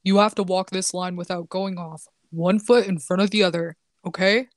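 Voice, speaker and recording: A woman's voice: lowish voice, steady tone, normal speed, no echo, clean studio sound, close to an everyday voice. Woman putting on a firm, official male cop. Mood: stern, unmoved. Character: stern, matter-of-fact male police officer.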